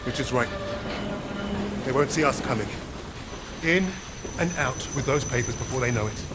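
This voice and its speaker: An actor playing a composed, determined British paratrooper sergeant in a war game, clipped and commanding. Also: male voice, mid-range voice, moderate pace, heavy background noise, natural voice. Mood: firm briefing. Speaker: squad leader. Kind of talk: cinematic war game acting.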